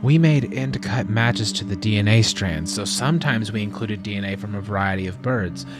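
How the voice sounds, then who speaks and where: A man playing an earnest, calm, technical geneticist in an audio drama. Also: on the low side, normal speed, over music, natural voice. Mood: calm, questioning.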